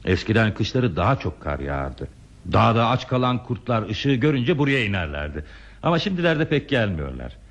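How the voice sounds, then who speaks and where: An actor playing a lonely, modest railway signalman in a radio play, speaking in a measured, wistful, plainspoken way. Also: lowish voice, big pitch swings, dull audio. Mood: plainspoken, reminiscing. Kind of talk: Turkish radio theatre acting.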